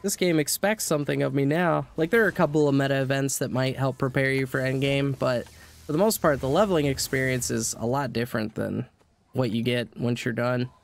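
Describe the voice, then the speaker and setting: A man's voice: mid-range voice, fairly quick, creaky. A man streaming a game, rambling casually to his viewers while he plays, sniffly with a cold. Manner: chatty, rambling, talks to chat. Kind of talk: casual gameplay commentary. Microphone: desk mic.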